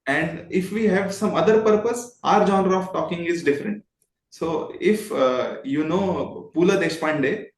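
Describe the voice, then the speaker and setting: A man with an Indian accent explaining things in an interview, politely and expressively, with illustrative examples. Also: on the high side. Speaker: guest, voice modulation trainer. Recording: roomy, echoing sound.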